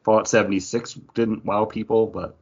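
A man's voice: on the low side, flat delivery, normal speed, creaky, breathy edge. A man co-hosting a podcast in casual, conversational pop-culture chat. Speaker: podcast co-host. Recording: dull lo-fi podcast audio.

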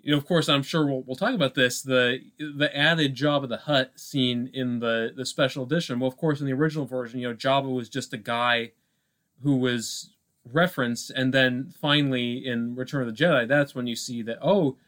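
Halting, chatty male podcast co-host. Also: mid-range voice, fairly even intonation, a bit bright. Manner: halting, repetitive, peppered with "like" and "you know". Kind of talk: casual film discussion.

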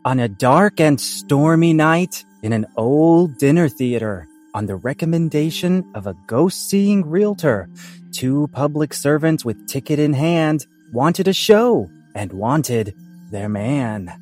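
A man's voice: on the high side, lively intonation, slow pace, a little mellow, faint music behind. A man playing a pompous, politely blunt small-town dramaturg in a comedy audio drama. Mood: ominous, storytelling. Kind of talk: comedic audio drama dialogue and verse narration.

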